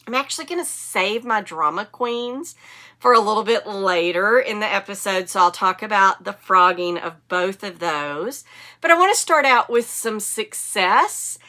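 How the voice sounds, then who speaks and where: A woman's voice: mid-range voice, bright, forceful tone. Sniffly woman chatting about knitting. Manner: chatty, enthusiastic, rambling. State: sniffly from a cold. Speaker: knitting podcast host. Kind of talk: casual show-and-tell chat.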